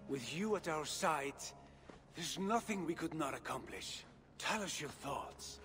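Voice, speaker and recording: Game cast: a keen Viking brother, rousing, unhurried delivery. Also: male voice, on the high side, animated, sharp tone, faint music behind. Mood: eager. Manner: eager, rousing. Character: Viking brother eager for new voyages. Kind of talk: video game cutscene acting.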